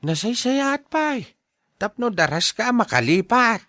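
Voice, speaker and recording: A man's voice: very high-pitched, sing-song, unhurried, sharp tone, no echo, studio quality, full character voice. A man with an Ilocano accent acting a blunt, scolding male friend in a radio drama, emphatic and exhorting. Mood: firm encouragement.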